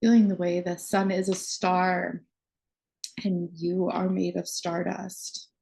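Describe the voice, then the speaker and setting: A woman leading a guided meditation in a soothing manner, with phrases set apart by long pauses. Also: fairly low pitch, little pitch movement, vocal fry, darkish tone.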